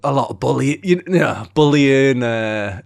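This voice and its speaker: A man's voice: medium pitch, expressive, measured pace, vocal fry. A man, a podcast guest telling his life story in loose, candid, casual talk. He swears freely and fills his speech with "you know" and "like". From England.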